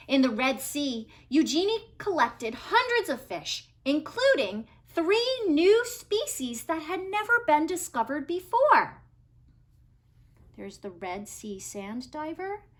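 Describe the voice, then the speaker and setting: A woman reading a children's picture book aloud, expressively, with dramatic pauses and emphasis on key words. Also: sits high, fairly bright tone. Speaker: read-aloud storybook reader.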